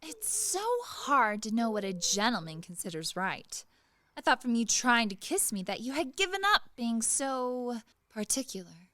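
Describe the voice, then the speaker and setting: A woman's voice: a little high, big pitch swings, moderate pace, fairly bright tone. A woman acting in a radio play as a proud, sly young maidservant, pert and needling under a show of deference. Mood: pert, teasing.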